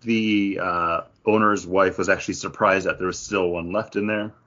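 A man with a fairly low voice talks as a podcast co-host. His delivery is casual and conversational, wandering a little as he goes. The recording is slightly dull and lo-fi. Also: fairly even intonation, normal speed, bright, forceful tone. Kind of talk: casual chat, craft beer review. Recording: dull, lo-fi audio.

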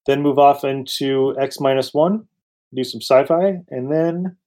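A man's voice: medium pitch, unhurried, mellow. A man co-hosting a casual chat show, with a dry, joking way of talking. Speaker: podcast co-host. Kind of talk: casual chat reviewing old radio serials.

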